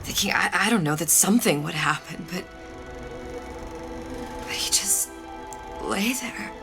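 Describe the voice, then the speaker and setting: An actress playing a weary, guilt-ridden young woman in a video game, speaking in a haunted, halting, introspective way. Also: lowish voice, animated, music in the background. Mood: shaken, grieving. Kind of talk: dramatic video game acting.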